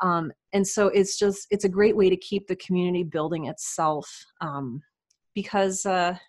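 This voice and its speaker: Female podcast guest, slightly low voice, informal and enthusiastic, conversational delivery. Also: fairly even intonation, moderate pace. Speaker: podcast guest, writer and audio drama producer. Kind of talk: casual interview chat.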